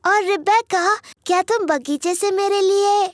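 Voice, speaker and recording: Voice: very high-pitched, measured pace, no echo, studio quality. A performer voicing a chatty, dramatic little girl piglet in a children's cartoon dub. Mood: chatty, important.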